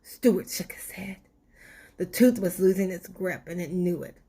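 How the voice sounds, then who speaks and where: A woman reading a storybook aloud in an expressive children's narration style, with deliberate dramatic pauses. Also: fairly low pitch, husky. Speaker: storybook reader.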